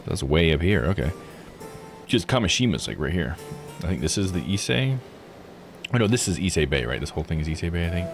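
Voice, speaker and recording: Man, low register, expressive swings, relaxed let's-play chatter, stumbles over words, background music. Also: fairly quick, long pauses. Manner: thinks aloud, stumbles over unfamiliar words. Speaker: streamer playing and reading a visual novel. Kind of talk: casual live let's-play commentary mixed with read-aloud.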